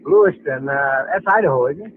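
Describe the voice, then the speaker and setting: A man's voice: mid-range voice, animated, brisk, lightly acted. An actor with an American accent playing a polite, trusting and slightly hesitant young man in a vintage radio drama. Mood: friendly, open. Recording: tinny, noisy old radio broadcast recording.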